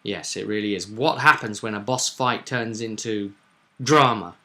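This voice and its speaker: A man co-hosting as game master, casually recapping a tabletop session with drawn-out, dramatic stresses. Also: fairly low pitch, sharp tone.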